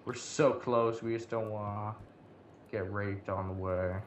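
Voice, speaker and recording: A man's voice: lowish voice, steady tone, measured pace. A man playing a video game, chatting casually in slang and swearing, blurting out reactions to what he finds. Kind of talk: casual co-op gameplay chat. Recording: muffled lo-fi mic, background noise.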